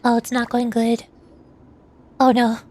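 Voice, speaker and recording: A woman's voice: on the high side, completely flat delivery, measured pace, darkish tone. A woman streaming a game with casual live commentary, playful and theatrical, often in short exclamations. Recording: game music behind the voice.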